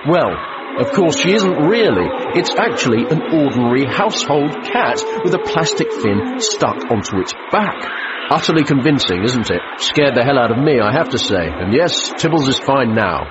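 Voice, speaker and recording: A man's voice: mid-range voice, very animated, normal speed, natural voice. An actor playing a chatty, self-satisfied 1950s British film studio man presenting his effects work in a drily matter-of-fact way. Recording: muffled vintage film sound, loud background noise.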